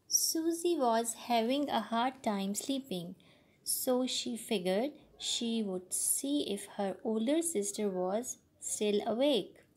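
A woman's voice: a little high, animated, slow, darkish tone. A woman reading a picture book aloud for young children. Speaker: children's story reader. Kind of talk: slow storybook read-aloud.

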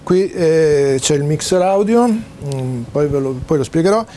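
A man gives an informal, halting technical explanation to camera, pausing often as he searches for words. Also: fairly high pitch, mellow, slight background noise. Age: 60s. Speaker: presenter demonstrating home-built audio and video gear. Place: small cluttered home study, indoors.

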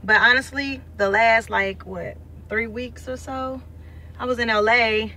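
Bright-voiced woman vlogging from a car. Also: fairly high pitch, measured pace, a little hiss or hum. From St. Louis, USA. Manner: blunt, slangy, lively and chatty. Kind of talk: casual selfie vlog. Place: inside a parked car. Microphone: phone held at arm's length.

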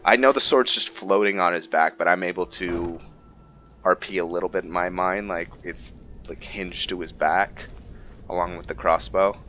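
Male gaming host, deep voice, casual rambling commentary, lo-fi sound over faint music. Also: moderate pace, a bit bright, slight background noise. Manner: rambling, thinking aloud. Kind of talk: casual roleplay game commentary. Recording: dull lo-fi mic under music. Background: game music.

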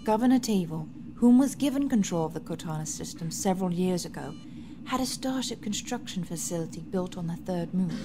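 A woman playing a composed, earnest noble rebel leader who speaks formally and gravely in a science fiction audio drama. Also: lowish voice, lively intonation, brisk, noisy background, close to an everyday voice. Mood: grave briefing.

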